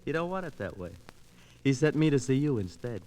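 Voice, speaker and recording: An actor playing a menacing, slow-witted gangster's henchman with slangy, taunting tough talk in a 1940s radio crime drama. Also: mid-range voice, sing-song, mellow, lightly acted. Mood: menacing, gloating. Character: menacing, dim male gangster henchman who relishes threats. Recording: noisy 1949 radio transcription disc.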